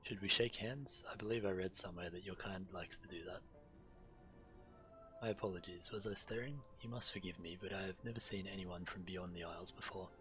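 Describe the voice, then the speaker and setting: A game streamer, a man with a very deep but forward voice. He reads quest dialogue aloud in a measured manner, correcting his slips as he goes, with game music playing behind him. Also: a bit bright. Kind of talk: reading quest text aloud while playing. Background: video game music.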